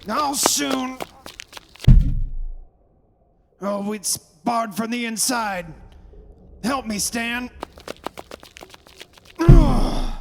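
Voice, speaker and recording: Man playing anguished father, creaky voice, melodramatic radio acting, long reverb, faint hiss. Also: extremely high pitch, animated, drawn-out words, pauses often, exaggerated voice. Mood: straining, frantic. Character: desperate, anguished father trying to rescue his daughter. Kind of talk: old-time radio horror drama acting. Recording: hissy hall recording with long reverb.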